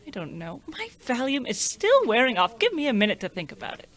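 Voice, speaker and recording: A woman playing a blunt, unimpressed, pill-dulled wife in a comic radio play, deadpan and belittling. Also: a little high, fairly quick. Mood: weary, indifferent. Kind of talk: comic radio play acting in old radio show vein.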